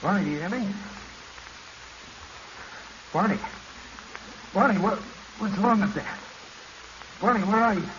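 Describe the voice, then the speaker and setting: A noisy, muffled vintage radio drama recording. a scheming, desperate male diver with a high-pitched voice. He speaks urgently, with pleading agitation. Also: lively intonation, unhurried, pauses often. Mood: alarmed. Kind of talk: 1940s radio drama acting.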